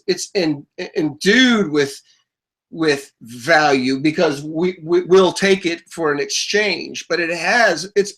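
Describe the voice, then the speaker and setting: A man hosting a talk show, his voice pitched high and bright. He explains things at length, chuckling now and then and often repeating himself as he restarts a thought. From United States. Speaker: podcast host.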